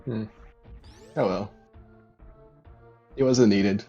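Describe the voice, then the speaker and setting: Lowish male voice, from Canada, laconic gamer in casual interview, understated delivery, faint background music. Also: extremely slow, dark tone, muffled recording. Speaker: speedrunner being interviewed. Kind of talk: casual post-race interview.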